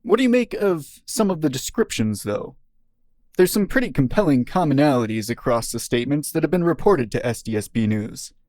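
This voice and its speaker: A man's voice: fairly high pitch, sing-song, fairly quick, a little mellow, no room sound. A man playing a probing news reporter in an audio drama, with polished, pointed delivery.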